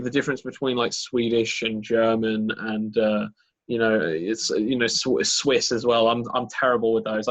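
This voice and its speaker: A man's voice: mid-range voice, lo-fi sound. A man talking casually about voicing characters as a game master, rambling with many fillers and restarts. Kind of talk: casual podcast chat.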